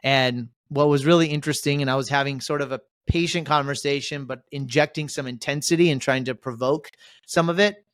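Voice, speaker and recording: A man's voice: medium pitch, steady tone, brisk, no room sound, studio quality. Upbeat man hosting a podcast. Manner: upbeat, rambling, lots of shout-outs. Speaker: podcast host. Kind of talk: casual podcast chat.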